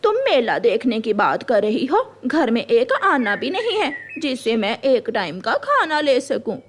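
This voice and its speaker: A woman voicing a jealous, bitter, scheming crow wife in a cartoon, sharp-tongued and complaining. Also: a little high, sing-song, unhurried, slight background noise.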